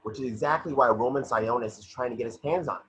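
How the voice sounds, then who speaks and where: A man's voice: mid-range voice, quick pace, a bit bright, lightly acted. A man playing a dogged, defiant woman police detective in an amateur online table read. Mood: insistent. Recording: muffled video-call audio with noise.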